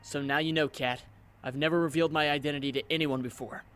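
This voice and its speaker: Guy doing a young superhero in a comic dub, kinda high voice, earnest and dramatic, music pretty loud behind him. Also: fairly even intonation, fast talker, natural voice. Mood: earnest, tender. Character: earnest young male superhero, lovestruck and torn about identity.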